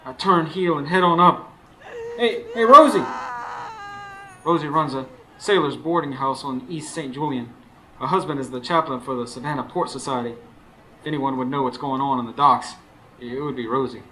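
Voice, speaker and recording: A man's voice: mid-range voice, normal speed, pushed and bright, natural voice, low-quality recording. A man acting in a radio drama as a weary, haunted working-class man who narrates his troubles in folksy speech. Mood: alarmed. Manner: folksy, colloquial. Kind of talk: radio drama first-person narration and dialogue. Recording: faint noise, live stage recording.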